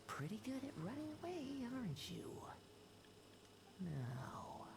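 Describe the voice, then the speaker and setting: A woman's voice: fairly high pitch, big pitch swings, takes it slowly, vocal fry, exaggerated voice. A woman voicing a sly, menacing huntress who taunts in a half-whisper. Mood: taunting. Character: sly, menacing woman hunting her quarry. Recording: game audio with background noise.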